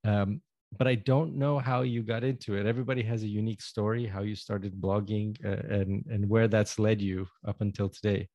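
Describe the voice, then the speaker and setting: Relaxed male podcast host, dry sound. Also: on the low side, normal speed, no echo, muffled recording. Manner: relaxed, friendly, hesitant with fillers. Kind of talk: casual podcast interview.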